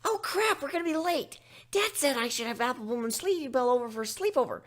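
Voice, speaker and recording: A man voicing cheeky, excitable little pony schoolgirls in a dramatic story reading, eager and exclaiming. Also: high voice, quick pace, voice pushed up. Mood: excited, rushed.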